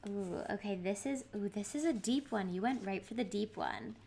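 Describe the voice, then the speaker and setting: A woman's voice: mid-range voice, normal speed, gravelly, dark tone, a little hiss or hum. A woman with a Boston accent, hosting a podcast in casual, bubbly chat and laughing often. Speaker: podcast host.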